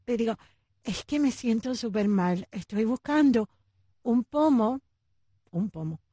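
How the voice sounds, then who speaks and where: Chatty Cuban-accented woman, husky voice. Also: on the high side, expressive, unhurried, mellow, no echo, studio quality. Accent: Cuban Spanish. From United States. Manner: chatty storyteller, laughs often. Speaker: radio show co-host. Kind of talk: casual studio banter.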